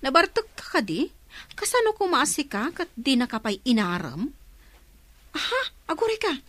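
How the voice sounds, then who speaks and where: A woman's voice: sits high, wildly swooping pitch, normal speed, a bit bright, dry recording, exaggerated voice. An actress playing an inquisitive, accusing village woman in a radio drama. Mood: gossiping, accusing.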